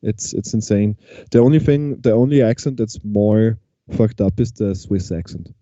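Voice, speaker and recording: A man's voice: on the low side, normal speed, mellow, no echo, highs cut off. A man from Austria whose first language is German, chatting casually in English as an interview guest with an Austrian German accent and lots of restarts. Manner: chatty, frequent restarts and fillers. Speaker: guest musician.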